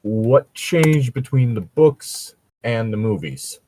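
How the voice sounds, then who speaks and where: A man's voice: medium pitch, sing-song, slow pace, warm tone, slight background noise. A man in casual commentary chat, opinionated and argumentative, swearing freely as he talks. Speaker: co-host reading and reacting to fan fiction.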